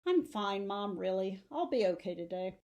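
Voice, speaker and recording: A woman's voice: mid-range voice, expressive, normal speed, a little mellow, lightly acted. A woman voicing an independent, wry eighth-grade girl with a casual, reassuring manner in a storybook reading. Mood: breezy reassurance.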